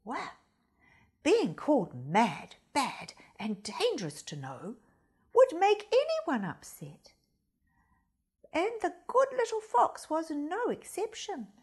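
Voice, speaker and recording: A woman storyteller reading a children's storybook expressively, with theatrical, deliberate phrasing. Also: high voice, huge pitch swings, darkish tone. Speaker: storyteller, primary school reader.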